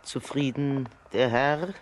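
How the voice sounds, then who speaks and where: A man playing a gaunt, taunting old witch woman in a children's radio play, with a creaky, sharp voice. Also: mid-range voice, slow pace, slight background noise, natural voice. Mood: grudgingly submissive. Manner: taunting, commanding.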